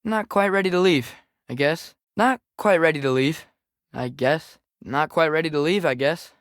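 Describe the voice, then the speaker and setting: A man's voice: fairly high pitch, normal speed, no room sound, clean studio sound, lightly acted. A young man with an American accent voicing a restless, casual teenage boy adventurer in a video game, with a hesitant, understated delivery. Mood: resigned. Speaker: game character voice actor. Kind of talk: video game companion voice lines.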